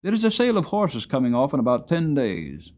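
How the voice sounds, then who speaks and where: A man's voice: medium pitch, wildly swooping pitch, brisk, darkish tone, pitched above the performer's own voice. A man voicing a blunt, practical farrier, firm and emphatic, in a dramatic storybook reading. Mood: matter-of-fact. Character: blunt, practical farrier giving his verdict on a horse.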